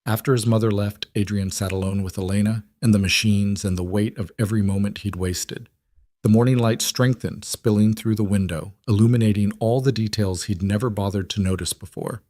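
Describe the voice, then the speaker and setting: A man's voice: on the low side, fairly even intonation, a little fast, mellow, no room sound. A man reading scripted, dramatic story narration in the manner of an audiobook.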